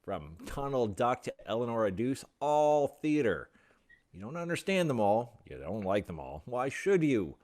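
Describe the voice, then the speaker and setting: An actor playing a cocky, impatient, opinionated theater director in a radio play. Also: male voice, medium pitch, sing-song, darkish tone. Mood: impassioned lecturing. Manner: brisk, opinionated, teasing.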